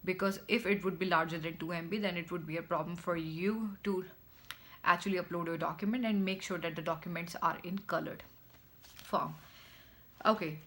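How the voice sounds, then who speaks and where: A woman's voice: fairly low pitch, fairly even intonation, moderate pace, fairly bright tone. A young woman with an Indian accent, explaining step by step to her viewers in a vlog, often repeating her words. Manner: instructive, repeats phrases, speaks in short stepwise chunks. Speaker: vlogger.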